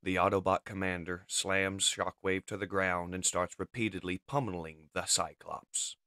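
A man's voice: sits low, steady tone, moderate pace, pushed and bright, no room sound, clean studio sound. A man narrating a comic book as a dramatic scripted reading. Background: music.

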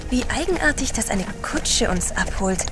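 Eine Schauspielerin spielt in einem Hörspiel eine vornehme, liebevoll neckende Ehefrau eines Gentlemans. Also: female voice, on the high side, lively intonation, moderate pace, over music, close to an everyday voice. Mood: wry. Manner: polite, playful. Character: refined, teasing, affectionate gentleman's wife. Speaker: actor in an audio drama. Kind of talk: German audio drama acting.

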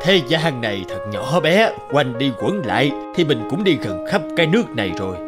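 An actor playing a pompous, stubborn, self-important rich village man in an animated folktale. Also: mid-range voice, moderate pace, music in the background, close to an everyday voice. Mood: smug.

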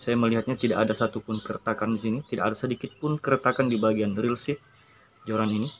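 A man's voice: fairly low pitch, steady tone, fast, slight background noise. A man in his thirties filming himself outdoors with a handheld phone, chatting upbeat to viewers as he reviews fishing gear. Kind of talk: casual product review vlog. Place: outdoors by a school building. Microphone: handheld phone camera close up.

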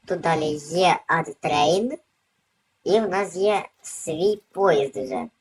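A woman's voice: fairly low pitch, takes it slowly, slightly rough. A woman hosting a gaming video with casual, off-the-cuff gameplay commentary.

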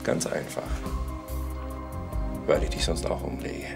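An actor playing a curt, evasive and menacing swindler in a German crime radio drama. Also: male voice, a little slow, loud music behind the voice, close to an everyday voice. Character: evasive, menacing male swindler turned murderer.

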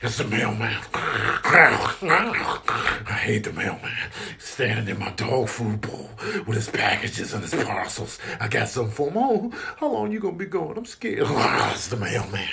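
A man voicing a timid, grumbling dog in a hushed, slow mutter for a comic animal voiceover. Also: medium pitch, sing-song, gravelly, heavily acted. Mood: anxious grumbling. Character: timid drowsy dog grumbling about the mailman.

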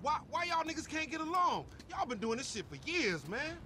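Cocky young american gangster, slangy, really high voice, noisy game scene. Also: male voice, sing-song, brisk, sharp tone, full character voice. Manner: swaggering, slangy. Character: cocky, fast-talking young male gangster. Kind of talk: video game cutscene acting.

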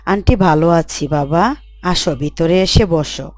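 A woman playing a gentle, devout, comforting mother in a scripted moral story drama. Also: low voice, moderate pace, dry recording, natural voice.

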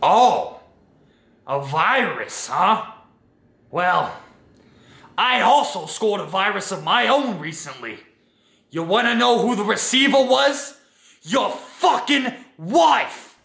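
A man's voice: sits high, lively intonation, sharp tone, heavily acted. A man voicing a foul-mouthed, hotheaded male football coach with a Boston accent and a combative, trash-talking manner. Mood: mocking. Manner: gravelly, slow, combative trash talk. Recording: echoey room.

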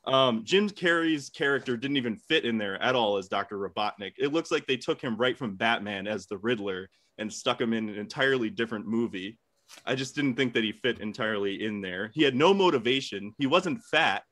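Animated, sweary man arguing. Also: medium pitch, fairly quick. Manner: sweary, blunt. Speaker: debate contestant. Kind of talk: informal comedic debate argument. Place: home room, indoors.

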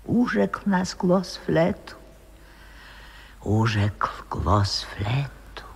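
An actress playing a wistful old former opera singer in a 1960s radio drama, speaking lyrically and dramatically, sometimes dropping into whispers. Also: female voice, very deep voice, sing-song, extremely slow, creaky, darkish tone, breathy edge, heavily acted. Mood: wistful reverie. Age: older. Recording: reverberant 1960s radio play recording.

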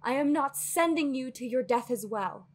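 A woman playing a weary, guarded sorceress in an audio roleplay, earnest and anxious, with a breathy, husky voice and hesitant, sighing phrasing. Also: sits high, expressive, moderate pace. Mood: stern. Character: weary guarded female sorceress, anxious, fiercely logical, secretly lonely. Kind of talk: dramatic audio roleplay acting.

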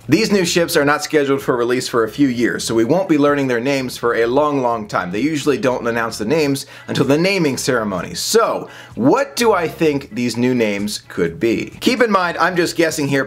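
A man in his thirties talking casually to the camera from a small home office, upbeat and chatty, gesturing as he speaks. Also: medium pitch. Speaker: vlogger.

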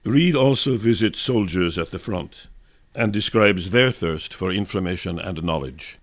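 A man's voice: low voice, unhurried, no echo. A man giving scripted historical narration for a radio broadcast as a reader.

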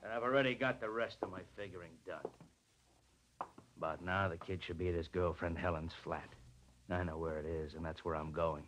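An American actor playing a menacing, cocky heavy in a 1940s radio crime drama, sneering and slangy. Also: male voice, low-pitched, fairly even intonation, fairly quick, a bit bright, poor audio. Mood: quietly menacing. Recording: vintage radio recording, faint noise.

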